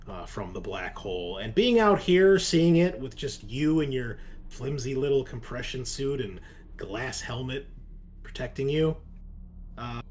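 Male game master, casual and halting, pausing for weight, slight background noise. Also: medium pitch. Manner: halting, deliberate pauses, casual swearing. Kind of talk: casual narration of a tabletop roleplaying game.

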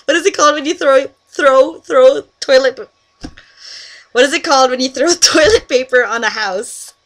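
A giggly young woman from Canada talks into a headset mic in a small bedroom, bright and a touch high in tone. She chats loosely through a word list, laughing and breaking off to tell friends to hush. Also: moderate pace. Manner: giggly, chatty, breaks off to scold friends on a call. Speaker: vlogger doing an accent meme. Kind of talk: casual webcam vlog. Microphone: headset microphone.

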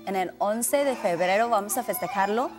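A woman in her thirties, born in China, speaking carefully and somewhat haltingly as a guest in a TV studio interview. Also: medium pitch, soft background music. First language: Chinese. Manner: careful, slightly halting non-native speech. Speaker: community guest. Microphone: handheld mic held by the host.

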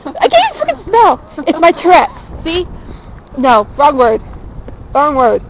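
High-voiced chatty young woman vlogging. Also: huge pitch swings, a little slow, slight background noise, poor audio. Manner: chatty, jokey, self-mocking. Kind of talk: casual chat while walking. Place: outdoors on a small-town street, later indoors in a wood-paneled room. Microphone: handheld camera held close.

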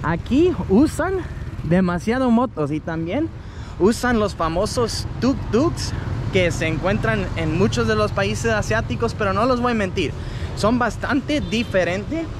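A man in his thirties from the United States, chatting casually while walking and filming himself with a handheld camera, often pausing to search for words. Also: high-pitched, expressive. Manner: chatty, self-correcting, heritage speaker searching for words. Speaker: travel vlogger. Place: city street outdoors in hot midday sun. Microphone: action camera at arm's length. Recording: outdoor traffic noise behind.

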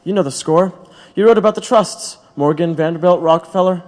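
Guy doing proud bitter union radical, earnest, slightly high voice, stage drama, lots of reverb. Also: a little fast, darkish tone, lightly acted. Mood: defiant. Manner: earnest, rhetorical. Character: defiant, principled male labor radical, proud and bitter. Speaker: actor in an audio drama. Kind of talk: dramatic audio play acting. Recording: long hollow reverb.

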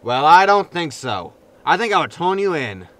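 A man's voice: fairly high pitch, big pitch swings, moderate pace, fairly bright tone. A man playing a grumpy, self-excusing spaceship captain in a sci-fi comedy audio drama, sardonic and grumbling. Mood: irritated.

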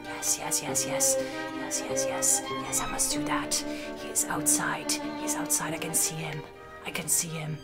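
A woman's voice: high voice, unhurried, over loud music. A woman voicing a vain, scheming young maiden in a comic puppet show, fretful, repetitive and melodramatic. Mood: scheming, frantic.

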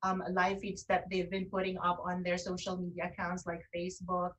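A woman's voice: lowish voice, monotone, a little fast, fairly bright tone. A woman co-hosting a casual online talk show, speaking from indoors over a video call. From lives in Canada. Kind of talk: casual chat. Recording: distant mic on internet video call.

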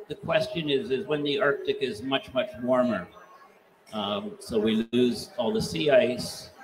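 A man's voice: mid-range voice, roomy sound, background noise. A man in the audience asking a question, building his argument step by step.

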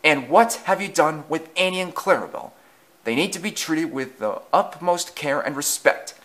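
A man's voice: mid-range voice, little pitch movement, slow, fairly bright tone. A man voicing an indignant, protective tank engine in a children's story, bellowing and scolding. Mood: furious.

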